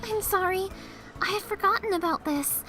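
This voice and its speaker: A woman's voice: very high voice, moderate pace, music in the background, poor audio. A woman playing a polite, reserved schoolgirl in a voice-acted visual novel game. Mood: apologetic, polite.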